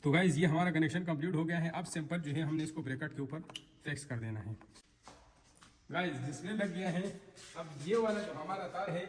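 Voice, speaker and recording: A man's voice: fairly high pitch, brisk. Man talking through hands-on work, noisy background. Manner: informal, step-by-step, repeats himself. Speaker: installer presenting a tutorial. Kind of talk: hands-on tutorial narration while working. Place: on an installation site. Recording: clear background noise on location.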